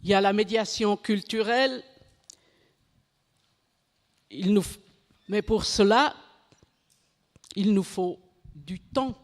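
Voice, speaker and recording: A woman giving a conference talk in French, which is not her first language, halting and correcting herself as she goes. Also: medium pitch. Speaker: conference speaker. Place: conference hall. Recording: reverberant hall.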